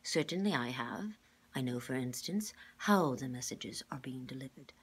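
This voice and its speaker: A woman voicing a grave, patient male scientist in a storybook, explaining in measured, formal words. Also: very deep voice, lively intonation, slightly rough, exaggerated voice. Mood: calm.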